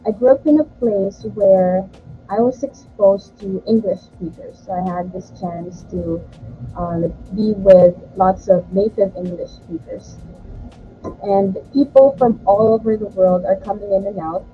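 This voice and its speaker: A woman's voice: mid-range voice, measured pace, muffled recording, faint music behind. A young woman from the Philippines whose first language is Tagalog, a guest tutor talking earnestly over a video call. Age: young adult.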